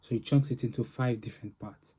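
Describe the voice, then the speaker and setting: A man giving an informal software demo as a developer, narrating in halting phrases with pauses. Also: medium pitch, steady tone, slightly rough. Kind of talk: informal product demo walkthrough.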